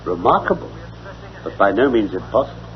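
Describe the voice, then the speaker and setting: Incisive English detective, animated delivery. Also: male voice, fairly low pitch, brisk. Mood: intrigued, courteous. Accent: British. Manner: precise, deductive, formal diction. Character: keen, incisive English gentleman detective, analytical and assured. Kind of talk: mid-century radio drama acting. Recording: dull, noisy vintage radio recording.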